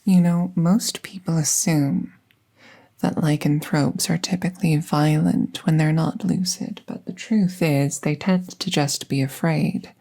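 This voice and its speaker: Woman as calm fantasy veterinarian for roleplay audio: soft, mellow, creaky low voice, reassuring and unhurried. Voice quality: soft, breathy, half-whispered. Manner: slow, hushed, soothing, reassuring. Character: gentle, calm female magical veterinarian treating a wounded werewolf.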